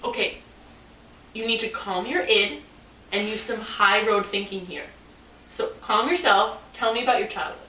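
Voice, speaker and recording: A woman's voice: mid-range voice, steady tone, normal speed, bright, forceful tone, a little hiss or hum, lightly acted. A woman playing a pompous, self-absorbed male psychoanalyst in an amateur comedy skit, clinical and easily flustered. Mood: analytical. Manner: clinical, self-important, flustered stammering when challenged. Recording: roomy echo, distant microphone.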